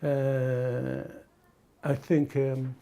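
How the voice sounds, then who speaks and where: A man from the Middle East or North Africa talking casually and reflectively, haltingly searching for words. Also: medium pitch, level tone, mellow, clear, resonant tone. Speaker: documentary interviewee.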